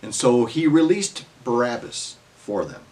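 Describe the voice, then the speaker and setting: A man's voice: medium pitch, very animated, unhurried, a little mellow. A man giving a scripted dramatic scripture reading as narrator, in a solemn, emphatic storytelling manner.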